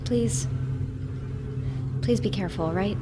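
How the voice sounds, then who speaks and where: A woman playing an earnest young survivor in an audio drama, speaking in urgent, halting, pleading phrases. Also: medium pitch, very noisy, natural voice. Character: Alex, earnest, insistent young woman haunted by buried memories. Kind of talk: scripted audio drama acting. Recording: music bed under the voice.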